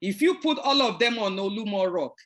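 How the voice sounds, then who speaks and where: Podcast host, earnest and opinionated, mid-pitched voice. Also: expressive, fairly quick, fairly bright tone. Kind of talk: interview conversation.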